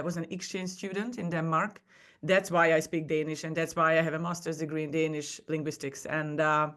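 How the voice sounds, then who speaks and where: A woman's voice: lowish voice, fairly bright tone. A woman who speaks English as a second language, telling personal stories as a guest on a podcast. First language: not English. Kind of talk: personal storytelling in a conversation.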